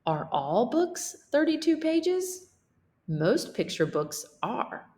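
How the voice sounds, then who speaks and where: A woman's voice: sits high, very animated, takes it slowly, a little mellow. A woman teacher reading a picture book aloud as a lesson for children, explaining things simply and checking in with questions.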